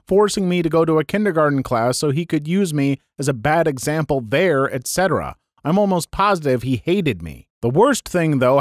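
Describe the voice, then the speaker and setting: A man hosting a podcast, reading listener stories aloud and adding his own comments, with expressive swings between loud and hushed delivery. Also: a little high, dry recording, clean studio sound.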